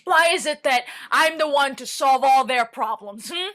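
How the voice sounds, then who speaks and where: A woman acting a bitter, defiant prisoner in a theatrical staged reading, profane and impassioned, swinging from muttering to shouting. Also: high-pitched, normal speed. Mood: furious, defiant. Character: bitter, defiant imprisoned woman facing the end of the world.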